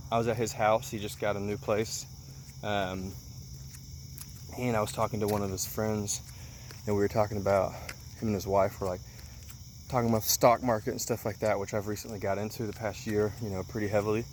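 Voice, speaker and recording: Earnest young man, low voice. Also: a little hiss or hum. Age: 20s. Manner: earnest, frequent ums, repeats for emphasis. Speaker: motivational vlogger. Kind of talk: casual motivational talk.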